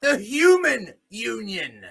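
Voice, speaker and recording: A man's voice: very high voice, sing-song, takes it slowly, vocal fry, fairly bright tone. A man hosting a solo commentary show, delivering a blunt, off-the-cuff opinionated monologue with free use of swearing.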